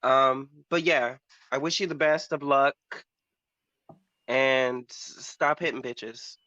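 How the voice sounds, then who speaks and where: A man's voice: medium pitch, fairly even intonation, slow pace, sharp tone, dry recording, dull audio. A man chatting casually as a panel host, with a sassy, blunt, slangy manner. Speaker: host on a pop culture panel show. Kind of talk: casual chat.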